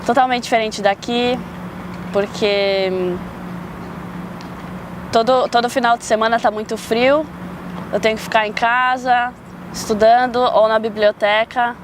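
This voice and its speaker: A woman from Brazil, a student speaking in a relaxed, wistful way in an interview. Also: a little high, normal speed, pauses often. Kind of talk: interview answers. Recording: clear street noise.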